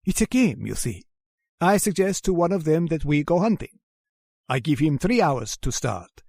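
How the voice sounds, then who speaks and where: A genteel, sinister general speaks in a gravelly, fairly high voice with very lively swings of pitch. He is suave and courteous, savoring his menace in old-time radio horror style. The sound is close and dry. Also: moderate pace, no echo, exaggerated voice. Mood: smug, measured. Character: genteel, sinister aristocratic general who hunts humans.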